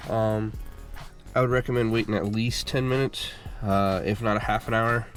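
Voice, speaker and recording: A congested man hosting a car repair channel, giving a relaxed, casual how-to walkthrough full of fillers while working on a car. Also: fairly low pitch, moderate pace, faint music behind. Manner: relaxed, filler-heavy explaining.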